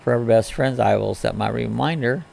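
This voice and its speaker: A man with a crackly, hoarse voice hosts a relaxed morning live stream. His gravelly, fry-heavy tone sits at an ordinary middle pitch as he rambles on easily, greeting people one by one. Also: a little fast. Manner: easygoing, rambling, greets viewers by name. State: crackly, hoarse voice from a respiratory illness. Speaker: host of a morning live chat. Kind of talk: casual live-stream chat, reading viewer comments aloud.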